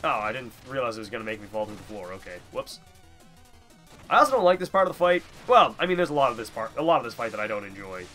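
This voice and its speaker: A man's voice: medium pitch, big pitch swings, fast talker, pushed and bright, soft background music. A young man with a stuffy nose giving casual, rambling, self-deprecating gameplay commentary. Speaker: gamer, let's player.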